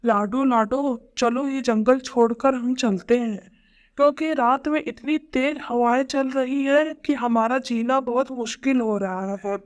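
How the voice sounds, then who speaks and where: Casting: male cartoon crow played by a woman, selfish and grumbling, pitched lower than a storyteller's voice but still fairly high. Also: fairly even intonation, unhurried, close to an everyday voice. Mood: anxious, urging.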